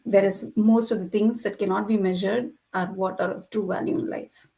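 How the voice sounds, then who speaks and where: A woman of ordinary, middle pitch speaks as a podcast guest. Her delivery is casual and conversational. She sounds like someone sharing personal views with a panel. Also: fairly even intonation, moderate pace, vocal fry, warm tone.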